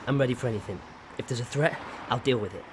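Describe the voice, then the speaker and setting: A man acting in a radio drama as a gruff, sardonic, middle-aged retired criminal who is stubborn and dismissive. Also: mid-range voice, quick pace, noisy background, close to an everyday voice. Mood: cocky. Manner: curt, sardonic.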